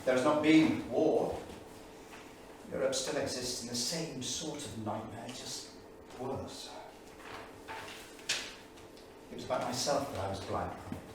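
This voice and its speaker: An actor playing a brooding English intellectual of the 1930s in a staged reading, speaking in a grave, rhetorical manner. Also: male voice, medium pitch, moderate pace, lightly acted. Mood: bleak, troubled. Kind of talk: staged reading of a dramatic monologue. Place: small theatre studio. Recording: distant, echoey theatre recording with noise.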